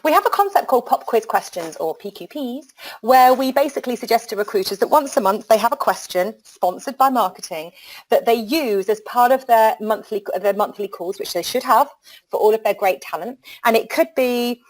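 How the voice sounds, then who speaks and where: A woman hosting a webinar, talking in a frank, lively and jokey way. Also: on the high side, normal speed, rough recording. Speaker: webinar host. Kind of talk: webinar presentation.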